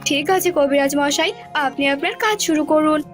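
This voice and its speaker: A stern cartoon king acted by a woman, fatherly and commanding. The voice is high and formal, with light music behind it. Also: brisk, lightly acted.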